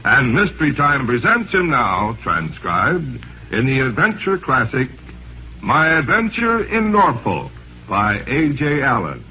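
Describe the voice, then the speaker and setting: A man's voice: medium pitch, wildly swooping pitch, a little slow, slight background noise. A man introducing a vintage radio play in a formal, dramatic announcer style. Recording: dull old radio recording.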